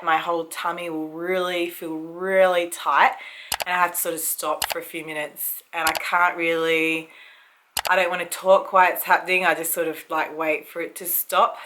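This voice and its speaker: A woman in her thirties with an Australian accent, a little croaky from a cold, chatting casually to camera about her pregnancy with many ums and likes. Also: fairly low pitch, pushed and bright. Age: 30s. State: recovering from a cold, slight cough. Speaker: vlogger. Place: family home.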